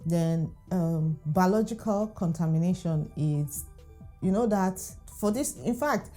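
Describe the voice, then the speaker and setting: Chatty Nigerian woman with mellow tone. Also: on the low side, measured pace, faint music behind. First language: Igbo. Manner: chatty, animated, laughs often, exclaims. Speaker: food vlogger. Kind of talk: casual talk to camera.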